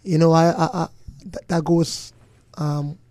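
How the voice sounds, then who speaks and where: A man's voice: on the high side, level tone, measured pace, warm tone, no echo. A man, an interview guest and former player and coach, talking in an earnest, reflective way. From Trinidad and Tobago. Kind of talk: casual interview chat.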